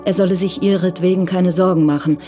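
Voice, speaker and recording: A woman narrating a German radio crime drama in a scripted literary style, measured and composed. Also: fairly low pitch, fairly even intonation, dark tone. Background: music under the voice.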